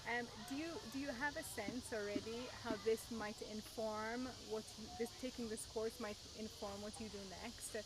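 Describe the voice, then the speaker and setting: A woman's voice: a little high, fairly even intonation, moderate pace, hardly any pauses, noisy background. A woman interviewer off camera, asking a rambling, hesitant follow-up question, heard away from the lapel mic. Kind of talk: casual interview.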